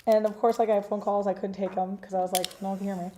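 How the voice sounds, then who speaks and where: A woman with a naturally deep voice, still hoarse from losing it, chatting and teasing in a casual talk. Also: flat delivery, racing, warm tone, slight background noise. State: hoarse, recovering from lost voice. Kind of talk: casual chat.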